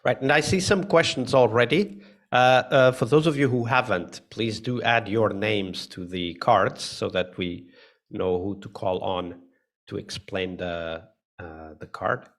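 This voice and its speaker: A man with a low, gravelly voice hosts a live online session. He talks in a relaxed way, full of fillers and restarts. The sound comes through a video call mic. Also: unhurried. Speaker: host.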